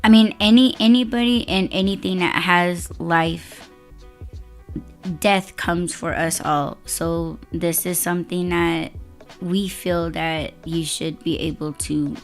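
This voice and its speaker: A woman hosting a podcast in casual chat, her voice hoarse and raspy, laid-back and quick to laugh. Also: lowish voice, fairly even intonation, faint music behind. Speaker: podcast host.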